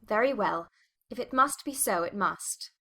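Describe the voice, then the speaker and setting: Woman acting a bright, witty young gentlewoman. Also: mid-range voice, lively intonation, a little slow, exaggerated voice. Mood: arch. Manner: arch, articulate, formal. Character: witty, spirited, self-possessed young English gentlewoman. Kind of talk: dramatized audiobook acting.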